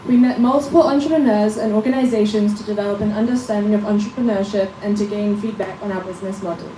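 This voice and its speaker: A young woman in her late teens gives a rehearsed student presentation in a clear, numbered, read-through delivery. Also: mid-range voice, fairly even intonation, fast talker, mellow, a little hiss or hum. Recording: echoey room from a distance.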